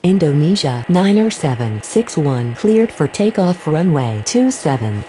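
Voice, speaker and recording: A woman as a low, mellow airport radio operator. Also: sing-song, unhurried, a little hiss or hum. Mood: businesslike. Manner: formal, clipped callouts. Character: female pilot and air traffic controller on radio. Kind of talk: procedural aviation radio phraseology.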